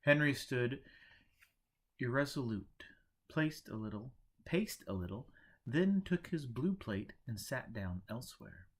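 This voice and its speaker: A man's voice: mid-range voice, animated, unhurried, breathy edge. Man narrating a storybook. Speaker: audiobook reader.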